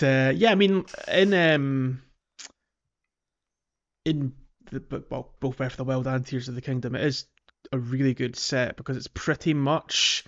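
A man co-hosting a podcast, chatting casually about a video game. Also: medium pitch. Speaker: podcast co-host.

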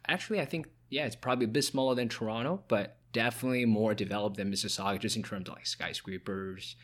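A man's voice: lowish voice, fast talker. A young man from China, a podcast guest and founder, chatting casually with many fillers and restarts. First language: Chinese. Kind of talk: casual interview chat.

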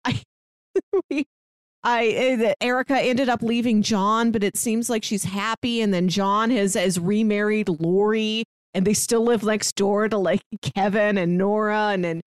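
Woman, podcast host, casual chat, chatty recapping, mid pitch, dry sound. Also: steady tone, measured pace, clear, resonant tone, dry recording. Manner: chatty, asks questions, then recaps at length.

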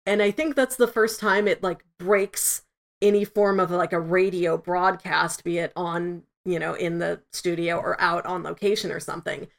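A woman's voice: lowish voice, little pitch movement, normal speed, vocal fry. Enthusiastic woman chatting on a review podcast. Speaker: podcast host. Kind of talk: casual review discussion.

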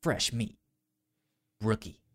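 A man with a stammer chatting casually and enthusiastically as a podcast co-host, often halting on repeated sounds. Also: a bit bright. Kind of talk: casual unscripted podcast chat about entertainment news.

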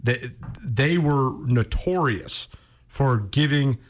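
A male American sports radio host with a mid-pitched voice. He chats casually and tells stories with conversational emphasis. The setting is a radio studio. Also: lively intonation, slow pace. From Montana, United States. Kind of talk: casual radio chat, storytelling.

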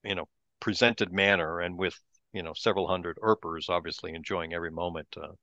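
A man co-hosting a podcast, chatting casually in a relaxed, explanatory way, on a dull lo-fi mic. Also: deep voice, brisk, no echo. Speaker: podcast co-host.

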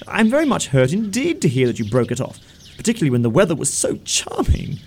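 A man playing a smitten young upper-class gentleman in an audio stage comedy, gushing and stammering as he pleads. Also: fairly high pitch, wildly swooping pitch, fast, slight background noise. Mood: hurt, flustered.

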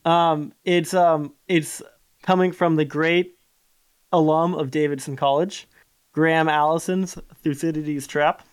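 A man with a lisp co-hosting a casual podcast, quick to object and bicker playfully. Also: on the high side, fairly even intonation, clear, resonant tone, dry recording. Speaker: podcast co-host.

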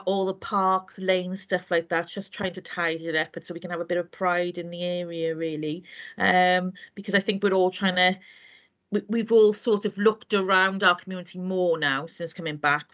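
A Welsh woman from Wales, a community councillor, talking earnestly in a casual interview and pausing often as she gathers her thoughts. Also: fairly low pitch, a bit bright. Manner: earnest, meandering with frequent hesitations.

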